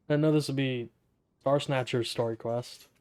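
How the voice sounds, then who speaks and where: A man's voice: mid-range voice, fairly even intonation, slow, clear, resonant tone. A young man giving casual, wry playthrough commentary as a gaming video host, with joking asides. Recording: soft game music behind the voice.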